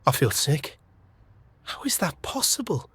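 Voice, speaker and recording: A husky, fretful young man in a naturalistic audio drama, recorded dry. He is anxious and reluctant, his halting speech swinging in pitch. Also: very animated, a bit bright, no room sound, heavily acted.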